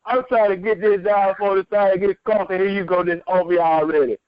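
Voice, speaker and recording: High-voiced chuckling male caller. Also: brisk, muffled recording, a crowd in the background. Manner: relaxed, laughing, talking while eating. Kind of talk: casual phone-in chat.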